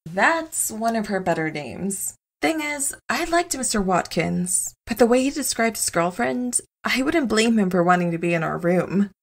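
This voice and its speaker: An actress playing a nonchalant, teasing wife who is secretly a superstrong heroine, in an audio comedy drama. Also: female voice, mid-range voice, expressive, normal speed, hardly any pauses.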